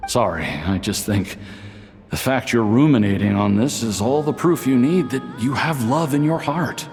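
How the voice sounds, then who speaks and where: A man playing a gentle, reassuring, reflective character in a video game. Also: mid-range voice, expressive, moderate pace, close to an everyday voice. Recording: long reverberant echo, music behind.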